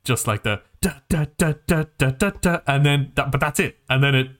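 A man's voice: medium pitch, normal speed, fairly bright tone. A man co-hosting a podcast in casual film discussion, with a hesitant, rambling way of talking that often restarts phrases. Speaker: podcast co-host.

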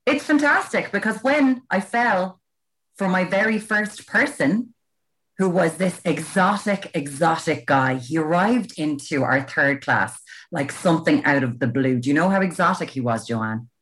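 A woman co-hosting a casual comedic chat, telling stories in an animated, teasing way. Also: on the low side, unhurried, a little hiss or hum, low-quality recording. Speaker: podcast co-host.

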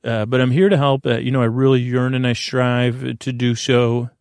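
Creaky-voiced man rambling soothingly. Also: mid-range voice, level tone, normal speed, dry recording, studio quality. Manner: meandering and hesitant, with repeated words and tangents; lulling, gentle reassurance. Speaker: host of a bedtime sleep podcast. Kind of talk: rambling, soothing bedtime monologue.

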